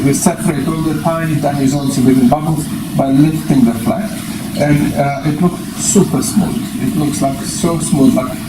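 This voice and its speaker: A man in his fifties giving an explanatory lecture in a deliberate, step-by-step way, presenting from a lectern. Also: a little high. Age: 50s. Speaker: presenter, eye surgery specialist. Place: small indoor meeting room at a lectern. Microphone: distant camera microphone. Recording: echoey, noisy room, distant microphone.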